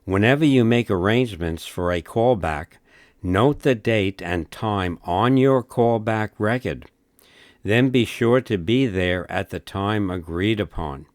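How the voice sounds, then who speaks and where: A man narrating a scripted instructional film in a measured, instructive manner. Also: lowish voice, lively intonation, no echo, studio quality.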